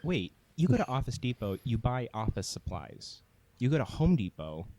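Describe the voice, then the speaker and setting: A man's voice: mid-range voice, big pitch swings, normal speed, dark tone. A man co-hosting a casual podcast chat, rambling and stumbling over restarts. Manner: rambling, with many stammered restarts. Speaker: podcast co-host.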